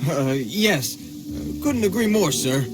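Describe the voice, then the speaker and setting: A man playing a colloquial small-time bandit in a video game, a rough-edged crook. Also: a little high, big pitch swings, takes it slowly, music in the background, low-quality recording. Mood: nervous, deferential. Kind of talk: scripted game dialogue.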